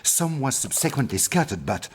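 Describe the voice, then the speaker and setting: An actor playing a devoted, anxious middle-aged archaeologist with a French accent, speaking gravely and courteously in a video game. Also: male voice, medium pitch, big pitch swings, moderate pace, slight background noise, lightly acted. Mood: earnest, urgent. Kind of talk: video game cutscene voice acting. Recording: music under the voice.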